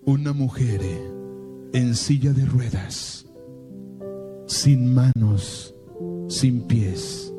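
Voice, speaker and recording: A Colombian priest with a paisa accent from Medellín, preaching a sermon through a slow, dramatic personal story full of rhetorical questions. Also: male voice, a little high.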